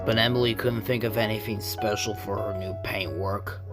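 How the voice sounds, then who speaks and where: A man narrating a scripted children's story in a measured, dramatic storytelling way. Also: fairly low pitch, fairly even intonation. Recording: prominent music behind the voice.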